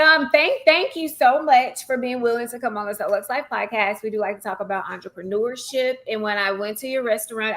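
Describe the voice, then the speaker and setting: A woman's voice: fairly high pitch, a bit bright. A woman hosting a podcast, greeting her guest and asking questions in an upbeat, enthusiastic way. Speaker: podcast host. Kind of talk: casual interview hosting.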